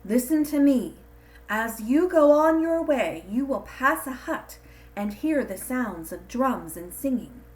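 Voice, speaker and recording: Woman playing a kind, slow-spoken wise old woman. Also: high voice, lively intonation, darkish tone, voice pushed up. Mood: earnest, guiding. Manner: earnest, instructive storybook delivery. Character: kind, wise, bent old village woman giving blessings.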